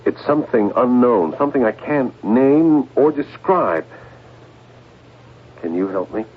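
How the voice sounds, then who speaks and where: A man's voice: medium pitch, lively intonation, a little slow, slightly rough. An actor playing an edgy, evasive stockbroker in his thirties in a radio drama, hesitant and defensive. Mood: anxious, evasive. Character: edgy, evasive 37-year-old husband and stockbroker. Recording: muffled, noisy old radio broadcast.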